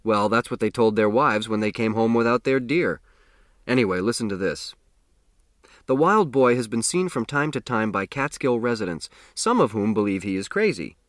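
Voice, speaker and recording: A man's voice: fairly low pitch, expressive, normal speed, a bit bright, no room sound, studio quality. Man voicing a hearty, teasing friend. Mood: wry, amused.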